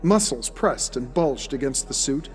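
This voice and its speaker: A man's voice: medium pitch, lively intonation, a little slow. A man reading scripted, descriptive story narration for an audio drama, like a storyteller. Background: music.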